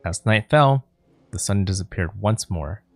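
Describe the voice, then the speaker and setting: A man's voice: fairly low pitch, animated, unhurried, no echo, faint music behind. A man streaming a video game, reading dialogue aloud and commenting as he plays.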